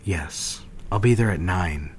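An actor playing an attentive male suitor, speaking intimately and coaxingly with a husky voice in a dramatic dialogue. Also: sits low, takes it slowly, slight background noise. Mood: affectionate, coaxing.

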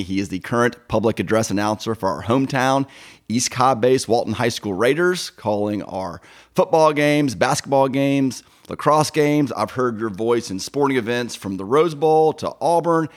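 A man's voice: medium pitch, moderate pace. A man with an American accent hosting a podcast, interviewing his guest in a casual, chatty way. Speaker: podcast host.

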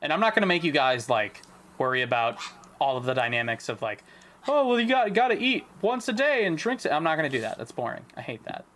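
A man runs a tabletop game with relaxed, chatty narration, describing scenes and asking the players what they do. Faint background noise sits under his voice. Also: a little high, a little fast. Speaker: game master.

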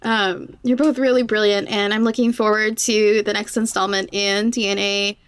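Woman, medium pitch, podcast host, casual conversational interview style. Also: flat delivery, moderate pace, clean tone.

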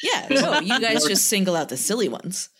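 A woman's voice: mid-range voice, big pitch swings, normal speed, a loud crowd. A woman hosting a podcast in casual chat, with a wry, joking manner. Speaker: podcast host.

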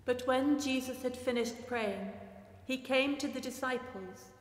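Woman narrating scripture, highish voice, long church reverb, bit of noise. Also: a little slow. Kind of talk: scripted dramatic Bible narration.

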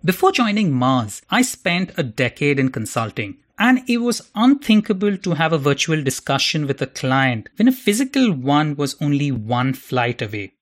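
A man's voice: on the high side, very animated, moderate pace, hardly any pauses. A man hosting a podcast who reads his introduction in a theatrical, playful, self-mocking way and then interviews his guests. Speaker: podcast host.

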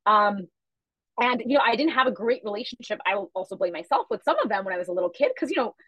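Woman talking super fast, kinda husky, dry close sound, emphatic. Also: mid-range voice, no room sound, poor audio. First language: Chhattisgarhi. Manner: fast, animated, emphatic storytelling. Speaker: guest, media company founder. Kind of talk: casual podcast interview.

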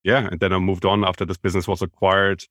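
A man with a very low voice and a German accent talks as a podcast guest. He explains at length and with open enthusiasm. The sound is dry and close. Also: level tone, fast, fairly bright tone, dry recording. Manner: enthusiastic, self-described business nerd, explains at length. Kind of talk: conversational interview.

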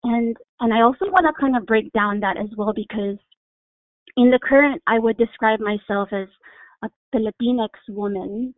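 Measured woman on a tinny dry line. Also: fairly high pitch, no echo. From Hawaii. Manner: thoughtful, measured explanations. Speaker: guest. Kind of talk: reflective interview answer. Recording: phone-like dry sound.

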